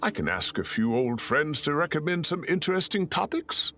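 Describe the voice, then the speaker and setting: A man's voice: a little high, big pitch swings, moderate pace, background noise. An actor playing a fussy, kindly older male professor in a video game, chatty and theatrical with some mock grumbling. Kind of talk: animated video game voice acting. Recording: music under the voice.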